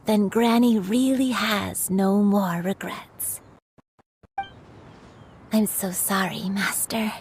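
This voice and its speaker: An actress voicing a gentle old grandmother in a game cutscene, speaking softly and tenderly. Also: mid-range voice, fairly even intonation, slow pace, pauses often, a little hiss or hum. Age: older. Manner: soft, tender, comforting. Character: gentle old grandmother, a crane turned human.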